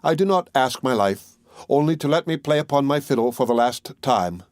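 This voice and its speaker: A man's voice: mid-range voice, lively intonation, a little fast, no room sound, studio quality, close to an everyday voice. A man voicing an honest, merry countryman in a fairy tale, plain-spoken in manner. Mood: earnest request. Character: honest, kind male countryman, merry and bold. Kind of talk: fairy tale audiobook character voice.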